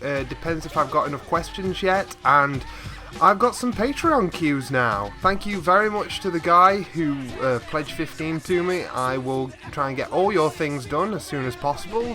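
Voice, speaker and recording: A man's voice: on the high side, animated, a little slow, over music. A man hosting a reaction video, chatting casually to viewers in a rambling, easily amused way on a desktop microphone. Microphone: desktop USB microphone, slightly set back.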